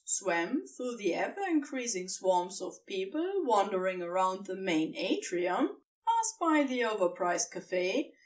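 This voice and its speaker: Slow, lilting woman narrator, slightly distant. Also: mid-range voice. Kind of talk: scripted fan fiction narration.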